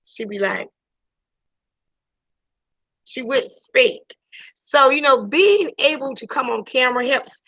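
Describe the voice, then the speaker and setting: A woman's voice: on the high side, no room sound. A woman teacher talking casually to her online class, chatty, with many restarts and "you know"s, over a video call. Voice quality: loud voice. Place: home during a video class. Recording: dull lo-fi video call audio.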